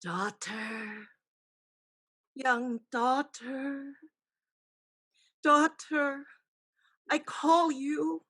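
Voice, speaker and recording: Eerie spirit woman, acted by an actress, high-pitched, very slow, incantatory, scheming, theatrical staged reading. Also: fairly even intonation, fairly bright tone, full character voice. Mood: solemn, summoning. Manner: slow, incantatory, drawn-out calling.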